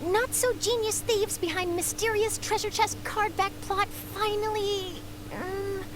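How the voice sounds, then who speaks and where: A woman playing an eager, expressive young news reporter in an anime-style video game. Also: very high-pitched, a little slow, background noise. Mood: flustered. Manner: expressive, bubbly, inquisitive.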